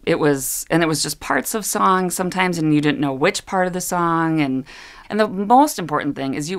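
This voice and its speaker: A middle-aged woman, a sign language interpreter, talking earnestly in an interview, often restarting her sentences and laughing easily. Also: sits low. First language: English. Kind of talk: interview talk.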